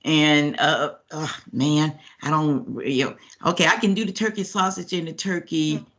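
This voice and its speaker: A woman's voice: low voice, steady tone, measured pace, a bit bright. A woman with a Southern US accent on a video call, asking questions in a playful, joking way and laughing a lot. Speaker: meeting participant.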